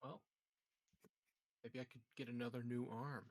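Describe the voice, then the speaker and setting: A man's voice: mid-range voice, level tone, measured pace, a little mellow, dry recording, studio quality. A man playing in a tabletop roleplaying game, talking casually with a joking bent.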